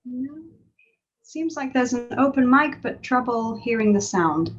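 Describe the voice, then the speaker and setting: A woman moderating a video call, slowly calling on participants in turn. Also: on the high side, expressive. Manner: slow, calling on people in turn. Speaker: session moderator. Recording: dull lo-fi call audio.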